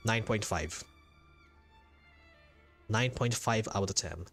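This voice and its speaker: A man with a fairly low voice chats casually as a game streamer. He talks in a halting way and often repeats a phrase to stress it. Faint music plays behind him. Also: gravelly, a bit bright. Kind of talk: casual livestream commentary and reaction.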